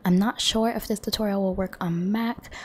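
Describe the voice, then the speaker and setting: A woman with a hoarse voice, losing her voice, chatting in a friendly way to viewers as she walks through a computer tutorial on a desktop microphone at home. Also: medium pitch, steady tone, normal speed. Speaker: gaming channel host. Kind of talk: casual screen-recording tutorial. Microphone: desktop condenser microphone.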